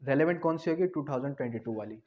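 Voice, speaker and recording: A man's voice: mid-range voice, lively intonation, very fast. A man with a Pakistani accent gives an explanatory law lecture, often repeating points and answering his own questions. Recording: dull, lo-fi sound.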